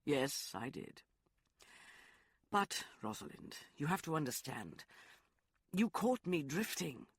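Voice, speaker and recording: A man voicing a remorseful young gentleman in an audiobook, soft, hesitant and apologetic. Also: medium pitch, husky, no room sound, studio quality. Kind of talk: audiobook character voice.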